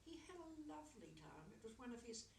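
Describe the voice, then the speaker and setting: A woman in her sixties telling anecdotes in an animated way, acting out bits of dialogue as she goes. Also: fairly high pitch, a little fast, vocal fry. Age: 60s. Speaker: storyteller. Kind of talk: anecdotal storytelling. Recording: roomy echo, distant mic, faint noise.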